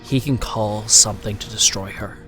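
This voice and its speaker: A low-pitched man tells a horror story with a dramatic, deliberate delivery. He keeps it suspenseful and at times hushed, with clear music running behind his voice. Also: steady tone.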